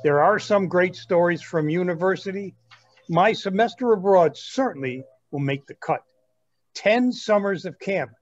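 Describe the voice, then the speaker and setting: A man's voice: sits high, unhurried, lo-fi sound, faint music behind. A young man playing an earnest, self-absorbed twenty-something grandson in a reader's theatre comedy. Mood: eager, self-absorbed.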